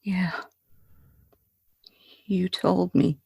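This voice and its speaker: A woman's voice: lowish voice, fairly even intonation, very slow, creaky, mellow, husky, no room sound, studio quality, full character voice. A woman playing a shaken, jaded Hollywood actress in a classic 1940s-style radio drama. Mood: uneasy, subdued.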